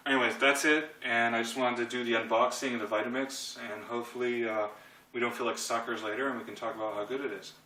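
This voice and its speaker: A man's voice: medium pitch, flat delivery, fast talker, bright, forceful tone. A man in his thirties giving a casual, rambling, joking commentary as he reviews a product. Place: home kitchen, indoors. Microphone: handheld camera at a short distance. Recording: echoey kitchen with clear noise and clicks.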